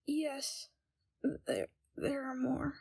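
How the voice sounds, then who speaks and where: A woman playing a timid, frightened young captive in an audio roleplay, speaking in a hesitant, hushed and halting way. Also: high voice, little pitch movement, creaky, no echo, clean studio sound, exaggerated voice. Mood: pleading, tearful. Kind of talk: scripted audio roleplay, ASMR.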